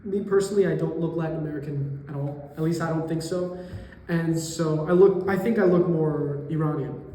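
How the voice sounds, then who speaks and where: A young man in his twenties, a college student from New York, answering interview questions thoughtfully and pausing to find his words. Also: fairly high pitch, dark tone, a little hiss or hum. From New York area, US. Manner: thoughtful, pauses to search for words. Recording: echoey room with long reverb.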